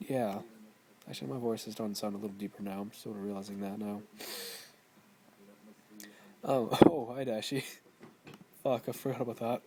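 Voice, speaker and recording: A man's voice: mid-range voice, creaky, warm tone. A man in his twenties, tired, rambling and swearing constantly in a casual vlog. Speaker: vlogger.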